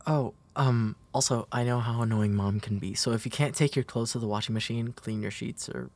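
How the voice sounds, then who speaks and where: Casting: male actor for protective big brother, comfort audio roleplay; breathy, husky, tender, hesitant, soft-spoken. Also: mid-range voice, fairly even intonation, brisk, natural voice. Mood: tender, caring.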